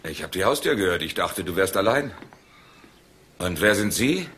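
An actor playing a self-assured, possessive lover in a German crime drama, speaking in a brusque, casual way. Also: male voice, sits low, big pitch swings, normal speed, heavy background noise. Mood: brusque, protective. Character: breezy, possessive male lover posing as family friend. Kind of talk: German dubbed TV crime drama as radio play.

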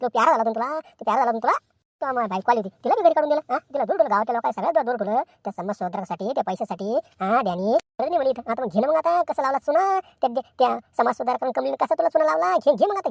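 Woman playing a fast-scolding villager. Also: high voice, no room sound. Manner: rapid reproachful nagging.

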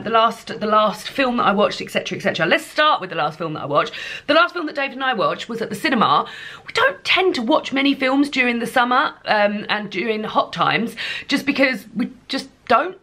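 A woman's voice: a little high, bright, forceful tone. A woman in her thirties chatting casually to camera in a rambling, informal way, as a vlogger. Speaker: vlogger reviewing books and entertainment. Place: small indoor room lined with bookshelves.

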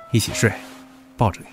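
A man acting in an audio drama as a blunt, hot-headed and possessive young rich man with a demanding manner. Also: close to an everyday voice. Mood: bossy, possessive.

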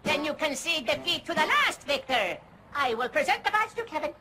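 A man's voice: very high voice, big pitch swings, a little fast. A man voicing a proud cartoon boy with a thick comic foreign accent, pompous and declamatory in a video game. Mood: pompous, challenging. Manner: pompous, declamatory, quaint phrasing. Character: proud, boastful foreign immigrant farm boy.

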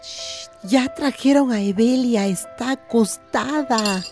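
Abuela mexicana que habla despacio. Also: female voice, on the high side, takes it slowly, over music. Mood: hushed, caring. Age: older. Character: hushed, caring village grandmother. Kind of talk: radio drama acting.

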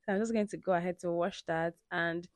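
A woman's voice: lowish voice, steady tone, a little fast, no echo. A woman giving a friendly, instructive cooking voice-over that speaks directly to viewers. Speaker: cooking vlogger. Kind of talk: explanatory voice-over.

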